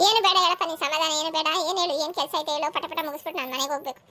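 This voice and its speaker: A woman voicing an overworked, sharp-tongued village housewife and mother in a family cartoon, scolding and complaining with a rural southern Karnataka accent. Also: high-pitched, racing. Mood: sulky, impatient. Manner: scolding, complaining, rhetorical questions.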